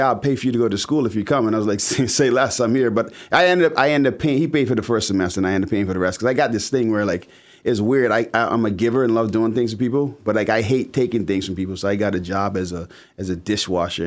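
A man in his forties from the Bahamas, an entrepreneur guest, talking fast and stumbling over repeated words. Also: fairly low pitch. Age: 40s. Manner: talkative, repeats words as he starts. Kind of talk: casual interview chat.

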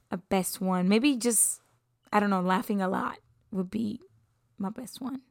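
A young woman from Puerto Rico talks casually and cheerfully about herself, laughing often and now and then sinking into a whisper. Her voice is smooth and clear at a middle pitch. The sound is dry and close. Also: no echo. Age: 20s. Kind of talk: casual self-introduction.

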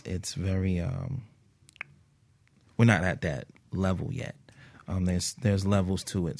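A man answering casually as an interview guest, in a hesitant, halting way. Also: deep voice, a little mellow, dry recording. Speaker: guest, musician.

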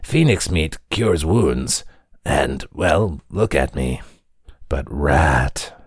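A man voicing a skeletal goth man with glowing green eyes and a rasping voice, who speaks slowly and formally. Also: extremely low pitch, expressive, dry recording, clean studio sound, full character voice. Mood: apologetic. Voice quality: raspy.

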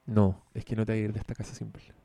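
A man's voice: lowish voice, level tone, racing, creaky, dark tone, no room sound. Casual Chilean man chatting about films. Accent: Chilean Spanish. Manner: rambling, profane, joking. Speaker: podcast co-host. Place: home.

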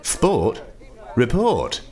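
A man's voice: medium pitch, huge pitch swings, extremely slow, a little mellow, soft background music, full character voice. Man playing a hearty rural sports reporter. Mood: hearty, bumptious. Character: jolly, bumptious British rural sports reporter.

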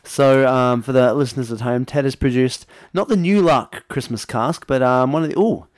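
A man's voice: mid-range voice, normal speed, no room sound, clean studio sound. Australian man, casual podcast co-host. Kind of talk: casual banter.

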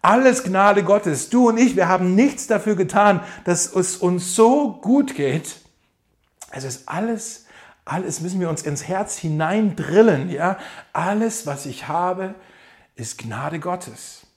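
A male pastor preaching with a fairly high voice. He speaks with emphasis, addresses the congregation directly, and slows down to repeat his key lines. His delivery is measured and earnest. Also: animated. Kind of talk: sermon.

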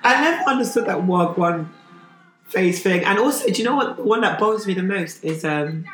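A young woman from with a deep voice, hoarse from losing it, chatting casually with a friend and saying "like" often. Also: lively intonation, a little fast, a bit bright, soft background music. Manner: chatty, full of "like" fillers. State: hoarse, has lost her voice. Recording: echoey room with background noise.

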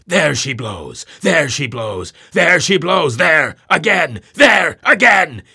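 A man voicing an obsessed, commanding old sea captain who shouts orders across the deck. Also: fairly low pitch, sing-song, takes it slowly, husky, heavily acted. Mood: possessive.

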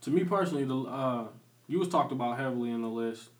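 A man's voice: medium pitch, quick pace, recorded from a distance. A man hosting a podcast, asking loose, slangy questions and cracking jokes. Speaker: podcast host. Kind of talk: casual interview chat. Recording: echoey room, voice off-mic, faint background noise.

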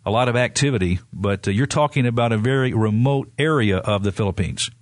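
A man hosts a radio interview and asks friendly, leading questions. His voice sounds dry and close in a studio. He talks at a medium pitch. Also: a little fast, dry recording. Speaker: radio host.

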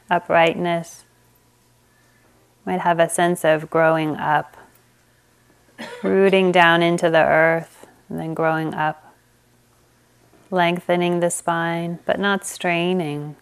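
Slow, gentle woman guiding meditation. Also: on the low side, steady tone, smooth. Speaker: meditation teacher. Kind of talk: guided meditation.

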